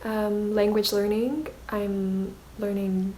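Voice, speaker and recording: A woman's voice: mid-range voice, level tone, slow, dark tone, slight background noise. A woman whose native language is Mandarin, speaking in a reflective, self-conscious and hesitant video diary as an artist vlogger.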